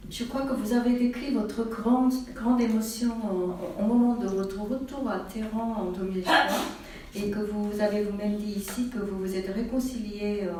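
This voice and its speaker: A woman interpreting at a library book event, talking briskly with fillers and restarts. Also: mid-range voice, darkish tone. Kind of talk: interpreting questions and answers. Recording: very echoey hall from far off, faint noise.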